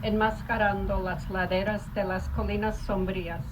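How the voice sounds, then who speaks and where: A woman's voice: mid-range voice, flat delivery, moderate pace. A woman, speaking and reciting at a live poetry reading. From Venezuela. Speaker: poetry reader. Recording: distant microphone, loud background noise.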